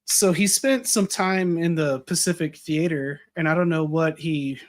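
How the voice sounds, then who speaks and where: Man, American, higher than average pitch, rambling, fillers, restarts, voice going a bit. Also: a little slow. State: losing his voice a little. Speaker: podcast guest. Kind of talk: casual conversation.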